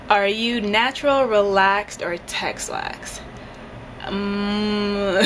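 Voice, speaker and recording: A young woman in her twenties, answering viewer questions in a casual, hesitant way with lots of ums, filmed at home in a bedroom on a close camera mic. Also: mid-range voice. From Jamaica. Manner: chatty, hesitant with many ums, light laughs. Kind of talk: casual Q&A chat. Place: indoors, bedroom in front of a headboard. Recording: steady background hiss.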